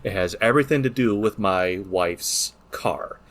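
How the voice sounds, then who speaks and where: Male podcast co-host, lowish voice, casual and joking, comfortable with coarse language. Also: unhurried, fairly bright tone. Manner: profane, joking banter. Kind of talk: casual chat.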